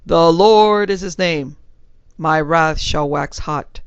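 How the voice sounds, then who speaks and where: Reader playing a bellowing male preacher, nasal, slow and loud, rolled R's, gravelly, dry. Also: mid-range voice, dry recording, lo-fi sound. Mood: thundering.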